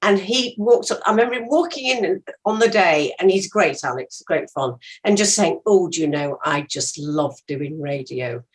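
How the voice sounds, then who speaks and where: Woman with very lively, swooping intonation. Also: lowish voice, moderate pace, few pauses. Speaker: actress, interview guest. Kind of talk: casual anecdotal chat.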